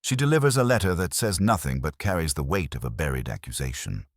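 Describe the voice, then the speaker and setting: Male reader as thoughtful English police inspector, mystery story: very low voice, measured and probing, dry close sound. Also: very animated, no echo, heavily acted. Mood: deliberate, thoughtful. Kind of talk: dramatised mystery audiobook.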